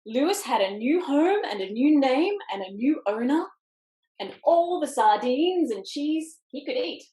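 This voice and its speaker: Woman doing kids storytime reading, higher voice, very expressive, echoey room on a video call. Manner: expressive, dramatic pauses and emphasis on words. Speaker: picture book author reading aloud. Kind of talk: animated children's storytime reading with quiet asides. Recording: roomy echo on video call.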